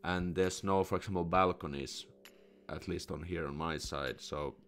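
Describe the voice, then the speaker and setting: A man's voice: sits low, fairly even intonation, moderate pace, fairly bright tone, faint music behind. A young Finnish man with a Finnish accent hosting a live stream from a small room, speaking casually into a studio mic, with many restarts and fillers as he thinks aloud. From Finland. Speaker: host answering viewer questions. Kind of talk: casual live stream Q&A, reading chat comments. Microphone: studio condenser mic with headphones.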